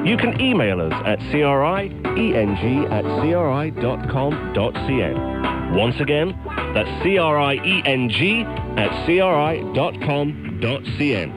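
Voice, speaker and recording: A man announcing from a script on an international radio station, heard over shortwave. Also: mid-range voice. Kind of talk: scripted listener mail announcement. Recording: shortwave radio reception, music behind.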